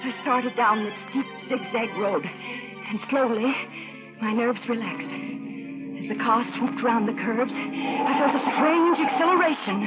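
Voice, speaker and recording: An actress playing a guilt-ridden, high-strung murderous wife in a melodramatic vintage radio thriller. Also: female voice, slow. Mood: eerily calm. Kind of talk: 1940s radio acting. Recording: muffled vintage radio, loud music behind.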